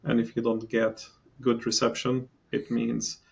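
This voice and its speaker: A man's voice: mid-range voice, completely flat delivery, unhurried, creaky, a little mellow, slightly rough, highs cut off. A middle-aged man from Palestine, a guest in a podcast interview, talking with many fillers and restarts. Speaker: engineering guest.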